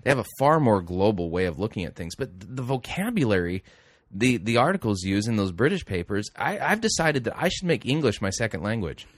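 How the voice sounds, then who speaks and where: A man's voice: fairly low pitch, very animated, a little fast, no room sound. A middle-aged man hosting a talk show, reading news aloud with rambling, self-correcting, wry asides. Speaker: radio host. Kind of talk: casual talk show commentary.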